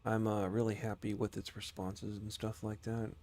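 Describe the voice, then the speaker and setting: A man with a fairly low voice gives casual commentary as a game streamer. He talks in a rambling, conversational way. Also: monotone, moderate pace, a little mellow, a little hiss or hum.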